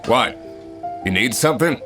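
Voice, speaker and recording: An actor playing a gruff, plain-spoken blacksmith in a video game. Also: male voice, mid-range voice, lively intonation, moderate pace, natural voice. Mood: gruff, matter-of-fact. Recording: slightly distant, music behind.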